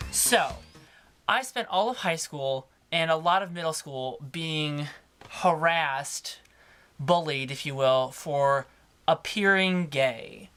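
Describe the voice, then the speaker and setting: A young man with a naturally higher-pitched voice, talking casually and personally to camera as a video blogger, expressive and emphatic with drawn-out words.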